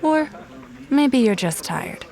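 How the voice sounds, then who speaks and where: An actress playing a sharp-eyed, no-nonsense female detective in a noir audio drama, deadpan and sardonic. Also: high-pitched, takes it slowly, heavily acted. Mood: cool, probing. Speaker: detective and narrator. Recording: music behind the voice.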